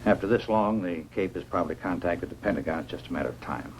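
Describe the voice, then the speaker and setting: An actor playing a calm, weary scientist doctor in a 1950s science-fiction film, with a measured, reassuring manner. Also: male voice, low-pitched, a little hiss or hum, low-quality recording. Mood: grave, reassuring. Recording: 1950s film soundtrack with music.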